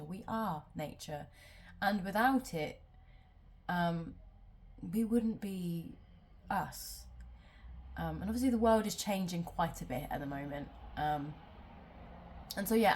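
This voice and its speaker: A woman's voice: on the low side, lively intonation, a little slow. A woman doing a casual tarot reading at home, sounding nasal from a cold and rambling with many ums. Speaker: tarot reader.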